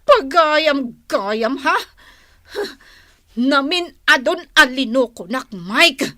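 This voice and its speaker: High-voiced suspicious Ilocano wife. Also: female voice, lively intonation, very slow, bright, forceful tone, no room sound, clean studio sound, heavily acted. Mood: angry, confronting. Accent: Filipino, Ilocano. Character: suspicious wronged wife and mother, fed up with husband. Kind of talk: Ilocano radio drama acting.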